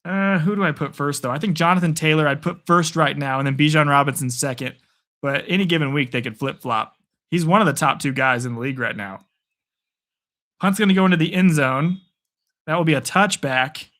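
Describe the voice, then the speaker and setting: A young man calling play-by-play for a live stream, excitable and chatty, answering chat, his voice getting worn. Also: on the high side, fairly quick. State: losing his voice. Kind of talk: casual live play-by-play commentary.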